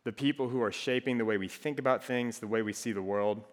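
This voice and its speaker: Young man, faint Minnesotan accent, casual joking sermon, mid-pitched voice, reverberant hall. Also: fast. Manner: relaxed, joking, conversational. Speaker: campus ministry speaker. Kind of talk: casual sermon to a student group.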